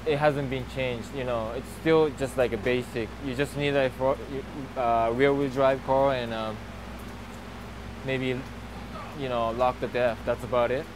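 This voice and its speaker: A man in his thirties, a professional drift driver, giving a relaxed and halting outdoor interview on a lapel mic at a racetrack paddock. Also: medium pitch. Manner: relaxed, halting, many fillers. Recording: noisy outdoor racetrack recording.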